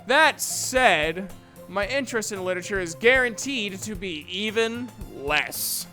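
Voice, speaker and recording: A woman voicing a reluctant, sardonic high-school boy who narrates his thoughts in a visual novel fan dub. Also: expressive, measured pace, sharp tone, soft background music. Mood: dismissive.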